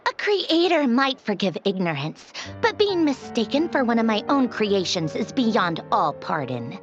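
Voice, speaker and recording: A woman's voice: a little high, very animated, a little slow. A woman voicing a condescending villainess in a video game, theatrical and formal, with a mocking haughtiness. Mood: offended, haughty. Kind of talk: video game character dialogue. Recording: dull game stream audio under music.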